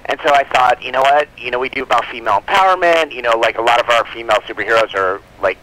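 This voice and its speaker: Bright-voiced chatty man, some background noise. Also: mid-range voice, fast. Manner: chatty, rambling, frequent "like" and "you know". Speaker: comic book publisher, interview guest. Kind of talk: casual interview chat. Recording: noisy phone call from guest.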